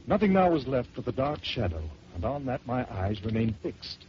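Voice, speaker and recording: A man narrating a first-person horror story in dramatic old-time radio style, swelling and dropping to hushed tones. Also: medium pitch, big pitch swings, normal speed. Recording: dull lo-fi old radio recording with hiss.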